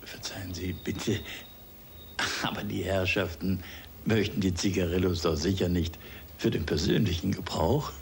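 An actor playing a proud, eager-to-please tobacco shopkeeper in a radio drama, speaking in formal, flowery, obsequious sales talk. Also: male voice, low-pitched, fairly even intonation, moderate pace, slight background noise. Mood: discreetly polite. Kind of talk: radio drama acting in a children's mystery.

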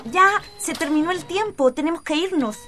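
A woman's voice: very high-pitched, animated, moderate pace, pushed and bright, faint music behind, heavily acted. A woman playing a keen, practical schoolgirl with a Chilean accent and upbeat slang in an educational radio drama.

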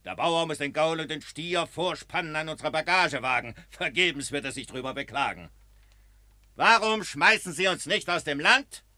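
A shrewd veteran soldier explaining the larger picture to his comrades in rhyming verse. His voice is bright and a little high, and it is recorded dry and close. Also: expressive, normal speed, no room sound. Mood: lecturing. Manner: lecturing, persuasive. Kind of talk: classic verse radio play.

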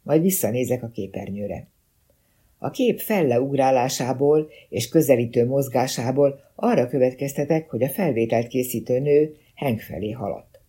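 Husky, mellow-voiced male audiobook narrator. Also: mid-range voice. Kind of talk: scripted first-person detective novel narration.

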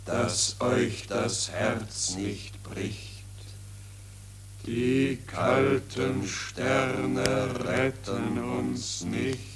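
Slow, mournful dead soldier. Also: male voice, medium pitch. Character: mournful, accusing dead soldier frozen in the trenches. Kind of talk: slow solemn verse in radio drama.

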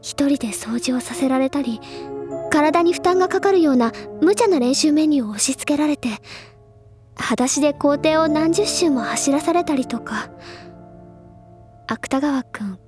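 A high-pitched, bookish teenage schoolgirl, acted by a woman from a Japanese audio drama. She is earnest and refined, savoring her words with effusive, gently persuasive phrasing. Music plays clearly behind her. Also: moderate pace, lightly acted. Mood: concerned, gentle. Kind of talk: Japanese audio drama, anime-style acting.

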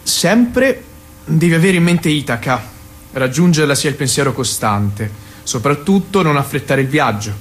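An insistent, higher-voiced father. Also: expressive, a little slow. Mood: reading aloud. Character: pupil's father, a travelling salesman, insistent yet awkward. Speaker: actor. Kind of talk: naturalistic audio drama dialogue.

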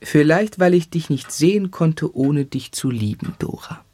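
A man's voice: a little high, expressive, a little slow, a little mellow, breathy edge. An actor playing a tender, dreamy young man in love who narrates his life lyrically and reflectively in a literary radio play. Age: young adult.

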